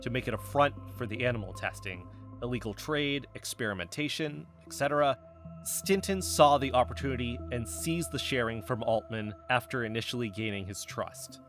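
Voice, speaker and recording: An actor playing an earnest, defensive amateur investigator in a naturalistic fiction podcast, speaking insistently as if in real conversation. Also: male voice, mid-range voice, normal speed, a bit bright, faint music behind, lightly acted.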